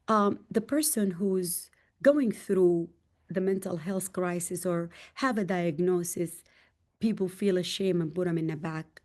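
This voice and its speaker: Earnest Sudanese-accented woman, low mellow voice. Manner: emphatic and heartfelt, rising in pitch on key points. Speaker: podcast guest. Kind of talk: candid conversational storytelling.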